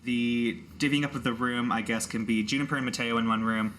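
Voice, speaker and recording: A man's voice: medium pitch, steady tone, brisk, noisy background. A man working as game master, improvising narration for a tabletop game in a casual, conversational way.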